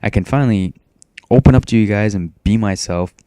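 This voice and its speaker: Low-voiced teen guy from maine, monotone, rambly game commentary, clean smooth sound. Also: fairly quick, no room sound. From Maine, USA. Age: teens. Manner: self-described monotone, rambling with restarts. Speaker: gaming commentator. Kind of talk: casual gameplay commentary.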